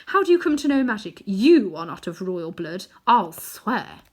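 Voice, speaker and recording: A woman reading aloud, voicing a cold, imperious queen witch from a storybook in a loud, commanding tone. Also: mid-range voice, big pitch swings. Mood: scornful commanding. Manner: commanding, scornful, slow and forceful.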